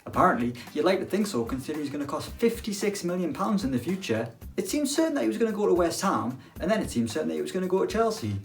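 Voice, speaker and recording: A man's voice: high-pitched, very animated, fast talker, creaky, few pauses, soft background music. A young man presenting football news to camera in casual chat, with dry, self-mocking banter.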